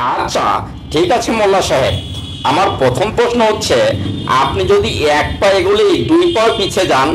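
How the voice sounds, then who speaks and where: A man's voice: fairly high pitch, slight background noise, lightly acted, rough recording. Man acting a pompous village judge in a cartoon. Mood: challenging. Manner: pompous, declaiming. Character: boastful village judge, self-styled wise man, scheming.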